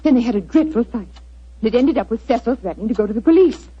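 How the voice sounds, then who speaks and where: Pleading society lady, quick, highish animated voice, polite, earnest, old radio drama. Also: husky. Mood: urgent, pleading. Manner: urgent, polite. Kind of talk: 1940s radio acting. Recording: muffled 1940s radio recording.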